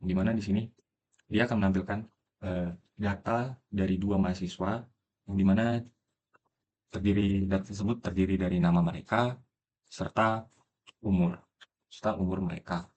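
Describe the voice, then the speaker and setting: A man's voice: deep voice, fairly even intonation, normal speed, long pauses, darkish tone, lo-fi sound, slight background noise. A young man explaining programming step by step in a casual tutorial, thinking aloud with frequent fillers. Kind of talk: screen-recorded coding tutorial.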